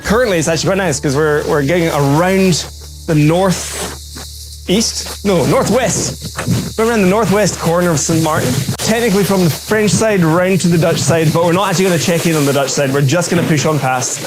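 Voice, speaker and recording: A man's voice: on the high side, normal speed, music in the background, low-quality recording. A man chatting casually and wryly to camera as a sailing vlogger aboard a boat at sea. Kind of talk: casual vlog narration to camera.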